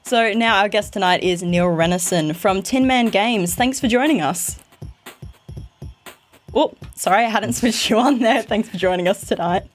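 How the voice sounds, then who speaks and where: A woman's voice: medium pitch, normal speed, soft background music. Woman hosting a casual podcast. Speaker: podcast host.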